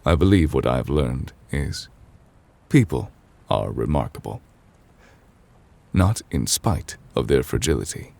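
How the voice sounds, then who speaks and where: A man voicing a solemn, gentle, ancient immortal chief justice in an ASMR roleplay, speaking formally and haltingly in a confiding, gravelly, breathy voice. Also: a bass voice, heavily acted.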